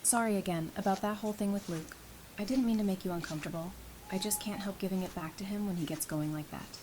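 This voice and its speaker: Wry, teasing woman as a quick-witted flirt, noisy recording. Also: lightly acted. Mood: casual, apologetic. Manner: dry, teasing banter. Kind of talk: scripted audio drama acting. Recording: noisy track with low rumble.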